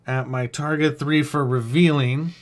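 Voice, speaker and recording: A man's voice: fairly high pitch, fairly even intonation, measured pace. A man giving casual livestream commentary as a game developer, thinking aloud as they work and answering chat. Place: indoors at a computer desk.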